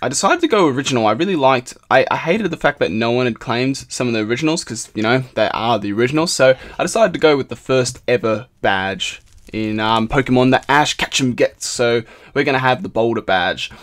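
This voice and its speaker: Slightly hoarse young man gaming. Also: mid-range voice, expressive, brisk, fairly bright tone. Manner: rambling, upbeat, many fillers and restarts. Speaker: gaming channel host. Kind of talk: casual game commentary.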